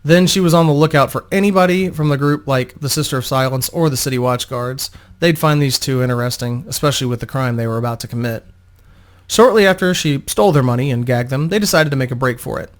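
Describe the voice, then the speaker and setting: Man narrating a fantasy story, medium pitch. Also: fast. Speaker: narrator and host.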